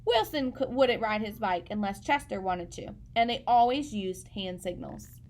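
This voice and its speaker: A woman reading a children's picture book aloud in an expressive storytelling style, speaking as if to young listeners. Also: medium pitch, normal speed, slight background noise.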